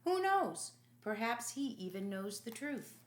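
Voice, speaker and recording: An exasperated Roman governor from a storybook, played by a woman, booming out judgments in a raised pitch. The delivery is emphatic and commanding, with big swings in pitch and a roomy echo around it. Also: big pitch swings, unhurried. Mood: urgent, puzzled. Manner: loud, emphatic, declamatory.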